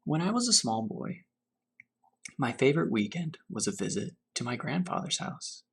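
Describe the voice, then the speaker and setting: A man reading a storybook aloud as a teacher for children, pausing to put questions to his young listeners. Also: medium pitch, sing-song, creaky, fairly bright tone, husky. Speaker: teacher reading to fourth graders.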